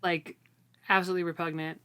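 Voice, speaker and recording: Female co-host, slightly low register, informal book-club chat with emphatic, slangy phrasing. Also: flat delivery, fast, sharp tone, clear, resonant tone. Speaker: podcast co-host. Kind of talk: casual book discussion chat.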